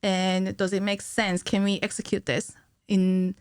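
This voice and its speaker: A woman's voice: mid-range voice, flat delivery, measured pace, clean tone, no echo. A woman in her thirties with a mid-range voice chats as an interview guest. She talks informally, thinking aloud in short bursts. The sound is close and dry, from a studio microphone. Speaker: podcast guest, cinematic animator. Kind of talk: casual interview chat. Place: small indoor podcast studio. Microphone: studio mic on a stand, headphones.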